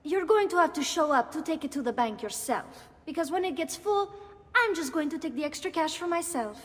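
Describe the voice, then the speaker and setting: An actress voicing an indignant, sarcastic young Italian sister in a game, with an Italian accent and an exasperated, emphatic delivery. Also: female voice, high voice, lively intonation, fairly quick, in a large hall. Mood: grudging, sarcastic.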